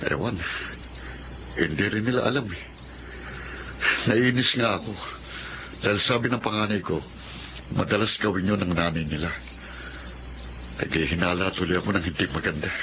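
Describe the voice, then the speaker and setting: A man's voice: low voice, moderate pace, long pauses. A man playing a bitter, hot-tempered betrayed husband in a Filipino radio drama, brooding and anguished. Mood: brooding, suspicious. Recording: dull, noisy lo-fi audio.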